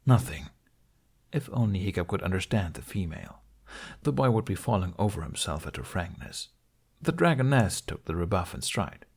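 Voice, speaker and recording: A man's voice: deep voice, very animated, a little fast, slightly rough. A man reading a scripted fantasy story as an audiobook narrator.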